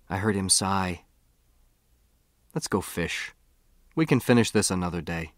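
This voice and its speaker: A man's voice: lowish voice, no echo. Male audiobook narrator, dry close sound. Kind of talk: scripted first-person story narration.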